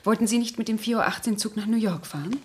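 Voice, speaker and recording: Composed, slightly husky, insinuating woman. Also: fairly high pitch, fast talker. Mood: calmly persuasive. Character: composed, insinuating woman managing a friend's household. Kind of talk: German radio crime drama acting. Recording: 1970s studio radio play recording.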